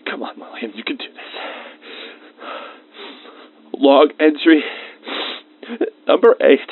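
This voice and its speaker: A man's voice: medium pitch, dry recording, lightly acted. A man playing a research scientist dictating a confiding, emotionally involved audio diary of lab logs. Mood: shaken, nervous. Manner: confiding, dictated log entries with emotional asides. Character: anxious male research scientist recording lab logs, increasingly shaken. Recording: phone-like thin band-limited sound.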